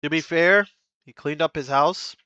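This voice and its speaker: A man's voice: fairly high pitch, moderate pace, pushed and bright, clear, resonant tone, dry recording. A man reacting to a video in casual commentary, blunt and crude, repeating his phrases as he talks. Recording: slightly dull dry recording.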